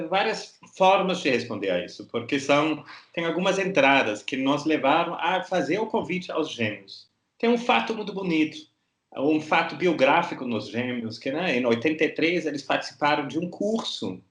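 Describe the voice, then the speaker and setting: A man, a museum director and curator, answering interview questions thoughtfully and pausing to search for words. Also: on the high side, sing-song, fairly bright tone, reverberant. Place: art museum gallery.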